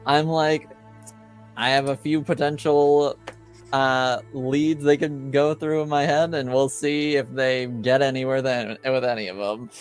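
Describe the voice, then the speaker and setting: A man of medium pitch chats casually as a tabletop game master. He speaks haltingly and tentatively over faint background music. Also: little pitch movement, poor audio. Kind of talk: casual chat.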